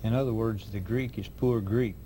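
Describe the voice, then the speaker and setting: A man hosting an interview, asking questions and telling an anecdote in a measured, deliberate way. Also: fairly low pitch, fairly even intonation, dark tone, slight background noise. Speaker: host interviewer.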